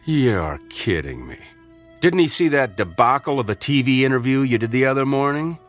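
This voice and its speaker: An actor playing a blunt, sardonic fellow doctor questioning a colleague, in an old-time radio drama. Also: male voice, medium pitch, expressive, moderate pace, soft background music, close to an everyday voice. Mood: probing. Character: skeptical male colleague doctor, probing.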